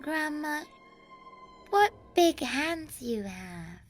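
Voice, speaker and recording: A woman's voice: high voice, very animated, drawn-out words, faint music behind, pitched above the performer's own voice, heavily acted. A woman voicing a sweet, innocent little girl in a children's fairy tale, polite and wide-eyed. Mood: puzzled, amazed.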